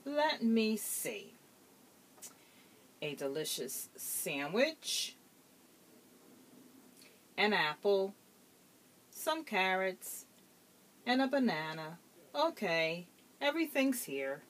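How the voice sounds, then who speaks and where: A forgetful, fretful rhino from a children's storybook, played by a woman reading aloud. The delivery is deliberate and unhurried, with pitch that swings expressively through each thought. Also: sing-song. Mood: content. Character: forgetful, fretful rhinoceros searching for his lunch.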